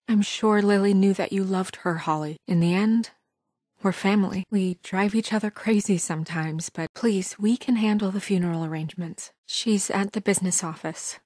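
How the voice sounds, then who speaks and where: Actress as a young female fairy-tale official for a narrative game: measured, caring yet firm, naturalistic delivery, medium pitch, close dry sound. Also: darkish tone, no room sound, close to an everyday voice. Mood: gentle, sorrowful. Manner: earnest, measured, diplomatic. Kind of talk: naturalistic video game voice acting.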